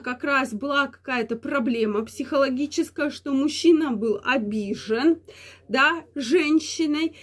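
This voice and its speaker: High-voiced woman vlogger, a bit under the weather. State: slightly ill, voice affected by a cold. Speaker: channel host giving advice. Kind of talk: explanatory talk to camera for viewers.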